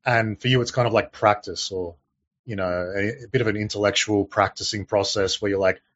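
A man's voice: fairly low pitch, fairly even intonation, fairly quick, no echo. A man co-hosting a livestream, chatting casually and teasing his co-host, with a chatty run of fillers. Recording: dry, slightly muffled stream audio.

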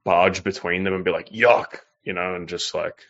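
A man's voice: low-pitched, fairly even intonation, normal speed, fairly bright tone. A man hosting a livestream and reading viewer messages, talking in a loose, rambling way with plenty of swearing and fillers. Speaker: livestream host reading super chats. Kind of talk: casual livestream chat. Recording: slightly muffled stream audio.

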